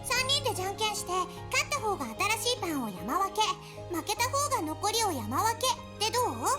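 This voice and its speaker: Very high-pitched, animated little girl. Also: rapid-fire, over music. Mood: eager. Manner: lively, childlike. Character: cheerful young girl, confident and eager. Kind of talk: Japanese anime game voice acting.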